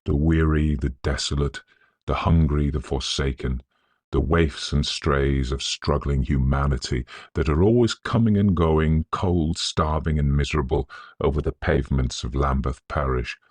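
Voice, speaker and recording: A very deep-voiced man narrates a Victorian ghost story. His delivery is scholarly and measured, with a husky grain, recorded close and dry. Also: a little mellow, no echo.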